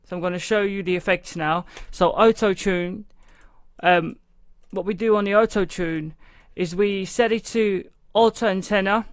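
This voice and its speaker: Chatty male beat-maker, high voice, dry sound. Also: fairly even intonation, normal speed, clear, resonant tone, dry recording. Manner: rambling, loose, full of "you know" and "like". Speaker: music production tutorial host. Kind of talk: casual step-by-step software tutorial. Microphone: studio microphone into a recording channel.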